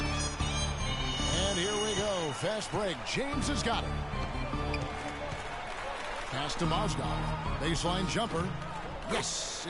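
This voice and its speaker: A man playing a TV basketball play-by-play announcer in a video game, with a clipped, fluent broadcast delivery. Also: sits high. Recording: game stream with loud music and court sounds. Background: loud music, bouncing basketball.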